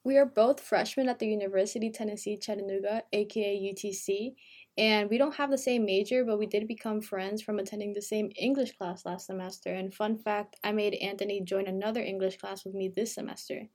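A woman's voice: medium pitch, fairly even intonation, fairly quick, darkish tone. An eighteen-year-old woman co-hosting a podcast, talking in a chatty, casual way with lots of "like" fillers. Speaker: podcast co-host, university freshman.

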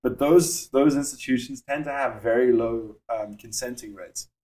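A man's voice: mid-range voice, dark tone. South African man explaining at length. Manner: explanatory, measured, with frequent fillers. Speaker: startup founder. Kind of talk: podcast interview.

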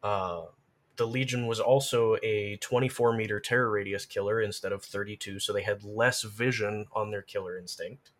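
Guy with a kinda low voice explaining stuff on a podcast, casual chat. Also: fairly even intonation, normal speed. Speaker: podcast guest, game lore and gameplay expert.

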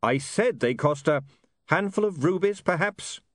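A man's voice: a little high, expressive, a little slow, no echo, studio quality, pitched above the performer's own voice. A man voicing a shrewd, grudging male dwarf printer who haggles bluntly in a fantasy audiobook.

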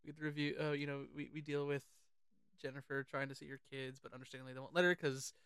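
An excitable, sweary podcast host chatting casually about films. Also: medium pitch, fast, smooth, no room sound. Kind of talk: casual film chat with quoted lines.

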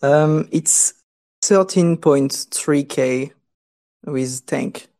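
A man with a French accent, a guest on a casual podcast, unhurried and halting, laughing often. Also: on the high side, dark tone.

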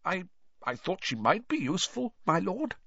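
A man's voice: on the high side, sing-song, slow pace, creaky, sharp tone, husky, no room sound, muffled recording, pitched above the performer's own voice, heavily acted. A man voicing a sullen, cowering male servant who stammers and talks sulkily and fearfully. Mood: sulky.

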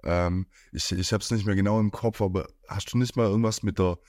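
A man's voice: fairly low pitch, steady tone, brisk, a little mellow, dry recording, studio quality. A man from near Stuttgart hosting an interview, asking long rambling questions in a casual tone. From near Stuttgart, Germany. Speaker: podcast host.

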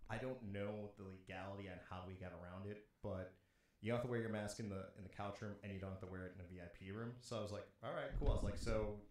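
A man's voice: on the low side, steady tone, fast talker, darkish tone. A man of nearly forty talking casually and swearing freely, in a very echoey room. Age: late 30s, nearly forty. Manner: profane. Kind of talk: casual chat. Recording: very echoey room, faint noise.

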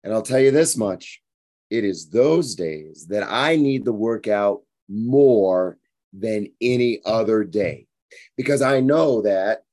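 A hoarse, tired man in his forties, hosting a fitness podcast in a frank, rambling motivational monologue. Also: fairly low pitch, lively intonation, slow, a little mellow. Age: 40s. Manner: rambling, frank, repeats himself.

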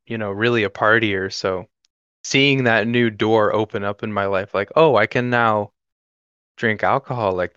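Smooth male voice, early twenties, lowish, easygoing, joking, casual podcast talk. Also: a bit bright, no echo, dull audio. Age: 21, young adult. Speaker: podcast guest or co-host. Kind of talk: casual conversational podcast chat.

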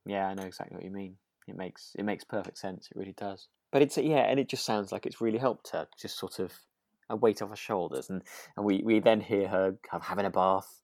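Deep, creaky-voiced British man. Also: brisk, darkish tone, dry recording, clean studio sound. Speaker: podcast co-host. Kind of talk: relaxed casual chat.

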